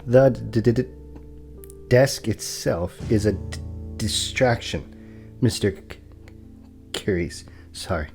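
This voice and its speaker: An actor playing a formal, deferential property broker who stutters, in an audio drama. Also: male voice, on the low side, takes it slowly, vocal fry, long pauses, darkish tone, faint music behind. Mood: polite, halting. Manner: formal, precise, apologetic.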